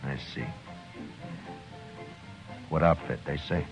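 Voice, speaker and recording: Very deep, stern frontier marshal, low and measured; dull vintage broadcast with faint music. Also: male voice, steady tone. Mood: grim. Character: stern, quietly grim male frontier marshal. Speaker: marshal and narrator. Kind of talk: 1950s radio drama acting with narration.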